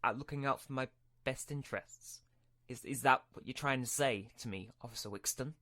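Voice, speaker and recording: A man playing a scheming, imperious Sith lord in a Minecraft roleplay, speaking in halting, deliberate phrases. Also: mid-range voice, bright, forceful tone, no echo, lightly acted. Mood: menacing. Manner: halting, deliberate, with drawn-out pauses. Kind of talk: hobby Minecraft roleplay acting.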